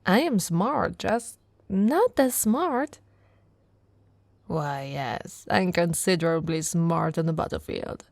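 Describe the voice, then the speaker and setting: A woman from Italy playing an affectionate, teasing female orc warrior in an intimate, soft-spoken ASMR roleplay. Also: lowish voice, expressive, slow pace, vocal fry, no room sound, full character voice. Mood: playful, bragging. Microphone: close, dry microphone.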